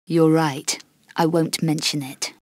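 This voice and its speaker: A woman's voice: deep voice, flat delivery, takes it slowly, a little mellow, no echo. An actress playing a cool, sarcastic female adventurer with clipped, dry lines in a video game. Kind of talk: video game cutscene voice acting.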